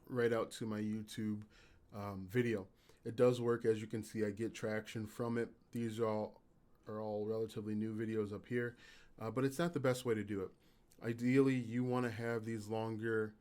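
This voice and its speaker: A man hosting a casual live stream, with a raspy voice and an even-keeled, low-energy manner, halting with fillers. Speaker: YouTube channel host.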